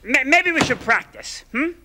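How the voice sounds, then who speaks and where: A man's voice: extremely high pitch, big pitch swings, moderate pace, big-room sound, background noise. A man voicing a fussy, easily exasperated male puppet roommate who pleads and loses his temper. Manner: fretful, pleading, prone to frantic outbursts. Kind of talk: children's TV puppet comedy.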